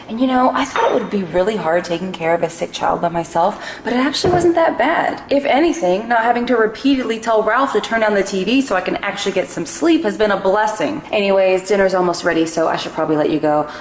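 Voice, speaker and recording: A woman's voice: medium pitch, fast, few pauses, roomy sound, noisy background, natural voice. A woman playing a fed-up, sarcastic working mother and wife in a scripted domestic comedy skit. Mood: relieved. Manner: exasperated, sarcastic.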